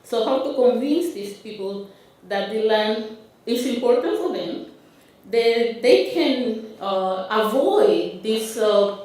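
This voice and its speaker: A woman from Honduras with a Honduran Spanish accent giving a conference talk with slides, speaking haltingly with frequent restarts and fillers. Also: on the high side, darkish tone. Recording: roomy hall, speaker far from mic.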